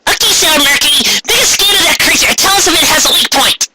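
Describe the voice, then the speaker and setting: A man's voice: very high voice, animated, fast, very noisy, pitched above the performer's own voice. A man voicing earnest, urgent teenage girl superheroines with an exaggerated girlish delivery.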